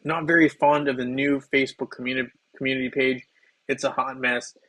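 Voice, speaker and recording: A slightly hoarse man hosting a game livestream, chatting loosely with viewers while he plays, with plenty of ums and restarts. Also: mid-range voice, level tone, a little fast, fairly bright tone, rough recording. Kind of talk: casual livestream chat while playing.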